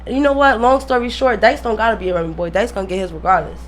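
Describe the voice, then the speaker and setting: A woman's voice: mid-range voice, fairly even intonation, fast, slight background noise. A woman speaking as a guest in a casual radio interview, emphatic and slangy, often repeating her phrases.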